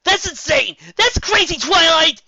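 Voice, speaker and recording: A reader voicing Spike, a young male dragon, distraught and loyal, who chokes up and yells. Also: medium pitch, lively intonation, fairly quick, vocal fry, dry recording, pitched above the performer's own voice, full character voice. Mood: shouting. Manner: choked, yelling, pleading.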